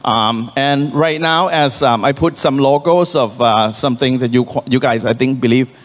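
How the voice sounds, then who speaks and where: A man from Thailand, a Thai native speaker, giving a startup conference talk on stage into a handheld mic, halting and earnest, with many ums and restarts. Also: medium pitch. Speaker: startup founder presenting on stage. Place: conference venue hall. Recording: hall reverb from a live venue.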